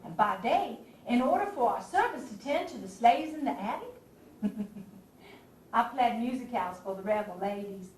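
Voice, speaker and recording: A woman acting an impassioned Southern abolitionist lady spy in a Civil War stage play, delivering a theatrical monologue to the audience with dramatic pauses. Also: a little high, animated, darkish tone, distant mic, natural voice. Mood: wry, intense. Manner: theatrical, declamatory, with dramatic pauses and rhetorical questions. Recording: echoey stage recording from afar, faint noise.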